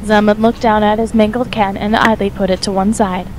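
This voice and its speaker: A woman's voice: medium pitch, level tone, fairly quick, a little hiss or hum, rough recording. A woman reading scripted third-person story narration as the narrator of an audio drama.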